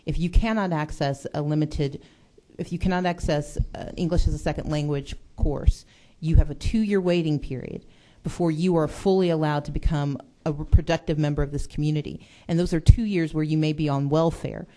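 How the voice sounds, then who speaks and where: Woman, legislator, committee speech, earnest, deliberate, mellow tone. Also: deep voice, steady tone. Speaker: state legislator.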